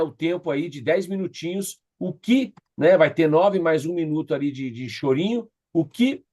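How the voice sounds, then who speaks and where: A man's voice: a little high, breathy edge. A Brazilian man facilitating an online class, giving informal, directive instructions and checking in with participants.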